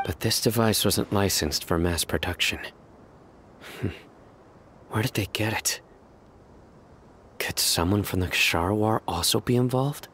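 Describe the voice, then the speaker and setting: Serious desert lawman for a video game: deep, calm, measured, methodical. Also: slight background noise. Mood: suspicious. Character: stern, calm, analytical male desert enforcer. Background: music.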